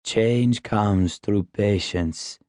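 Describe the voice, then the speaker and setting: A man voicing a wise, patient old male mentor in an audiobook, quiet and unruffled. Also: fairly low pitch, no room sound, clean studio sound. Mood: calm, sage. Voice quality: quiet, hushed. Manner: slow, sage, unruffled. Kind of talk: audiobook character dialogue.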